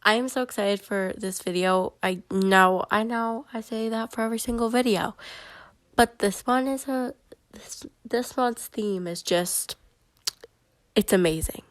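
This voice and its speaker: A woman's voice: on the high side, a little slow, no echo. A woman with a stuffy, head-cold voice, chattily narrating a voiceover for her bullet journal video. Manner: chatty and excitable, many fillers.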